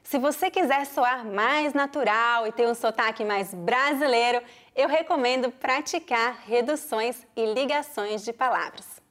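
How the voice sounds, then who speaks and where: A high-pitched woman from Brazil with a mixed regional Brazilian accent. She teaches pronunciation, explaining clearly and deliberately and sounding out examples. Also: fairly bright tone. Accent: Brazilian Portuguese, mixed regional. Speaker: Portuguese teacher. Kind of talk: pronunciation lesson.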